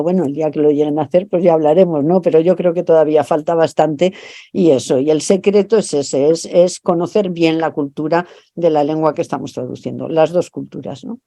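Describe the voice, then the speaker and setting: A woman's voice: low voice, dark tone, no room sound. A middle-aged woman from Spain with a Spanish accent, a translator and professor speaking in an interview, thoughtful and often repeating words while she searches for her phrasing. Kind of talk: interview over video call.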